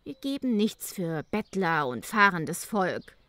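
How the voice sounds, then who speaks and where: Woman playing a haughty countess, swooping pitch. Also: big pitch swings, takes it slowly, sharp tone, dry recording, heavily acted. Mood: haughty, sceptical. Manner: imperious, irritable, aristocratic. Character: haughty, dismissive countess absorbed in her painting. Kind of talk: audio drama character reading.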